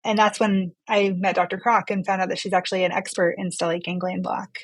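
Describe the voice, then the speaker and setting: A woman's voice: medium pitch, flat delivery, brisk, slightly rough, no echo. A woman physician speaking as an interview guest, explaining things in a conversational way.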